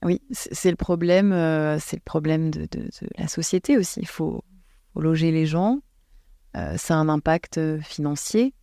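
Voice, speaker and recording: Low gravelly-voiced woman, mellow tone. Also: moderate pace, no room sound, clean studio sound. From France. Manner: thoughtful, hesitant with many restarts. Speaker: guest. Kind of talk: casual podcast conversation.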